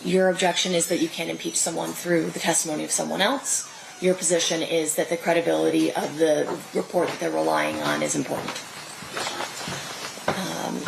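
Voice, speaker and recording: An American woman presiding as judge, speaking formally and procedurally in measured courtroom instructions and rulings. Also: deep voice, vocal fry. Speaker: judge presiding over a criminal trial. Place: indoor courtroom. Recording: noisy, echoey live courtroom feed.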